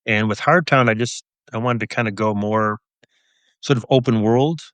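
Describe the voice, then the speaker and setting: Middle aged guy being interviewed, kinda low voice, wry and understated, very dry sound. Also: moderate pace, a bit bright, smooth, dry recording. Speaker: guest, crime novelist and police officer. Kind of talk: casual interview answers.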